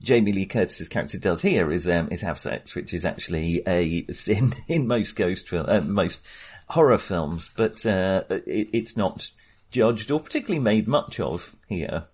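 A man's voice: low voice, expressive, moderate pace, a little mellow, breathy edge. A man in a casual film discussion, thinking aloud hesitantly and often correcting himself. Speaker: film podcast host.

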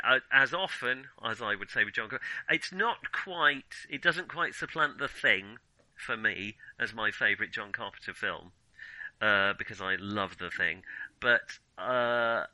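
A man co-hosting a film podcast in casual discussion, giving emphatic opinions and halting as he thinks aloud. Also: medium pitch, sharp tone, dry recording, rough recording.

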